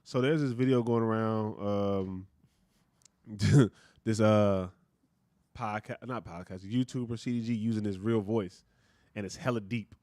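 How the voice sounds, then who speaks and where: A man with a deep voice chats casually as a podcast co-host, animated and full of slang. His delivery is lively, and the sound is dry and close. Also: unhurried, no echo. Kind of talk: casual chat.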